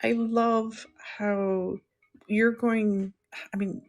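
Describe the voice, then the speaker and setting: A middle-aged woman chatting softly and unhurriedly with viewers while she draws on a livestream. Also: mid-range voice, level tone, very slow. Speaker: live-streaming artist. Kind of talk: casual livestream chat while drawing.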